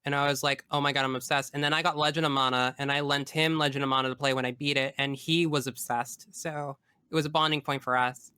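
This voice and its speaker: A man's voice: medium pitch, level tone, fast, sharp tone, clean tone, dry recording. A man speaking as a podcast guest, chatting casually and effusively about video games with emphatic phrasing.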